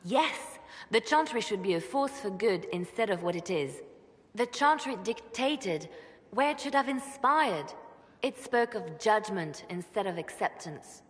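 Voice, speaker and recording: An actress playing a devout, idealistic reformist churchwoman in a video game, gentle and impassioned, speaking in measured, earnest oratory. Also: medium pitch, expressive, big-room sound, natural voice. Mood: impassioned, tender. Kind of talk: dramatic video game dialogue.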